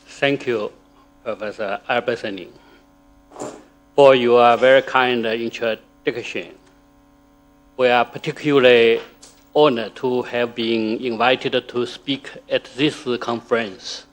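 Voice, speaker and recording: A man whose first language is Chinese, giving a formal academic talk in a lecture hall, slow and halting with pauses and fillers. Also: mid-range voice, fairly even intonation. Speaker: conference speaker.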